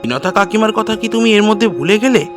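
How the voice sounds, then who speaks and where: A man's voice: high-pitched, lively intonation, brisk, fairly bright tone, pitched above the performer's own voice. A man plays an earnest young man in his twenties, discontented and protesting, in an audio story. The voice is raised and swings expressively, with music behind it. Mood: firm, protesting. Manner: firm, protesting. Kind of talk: audio story character dialogue.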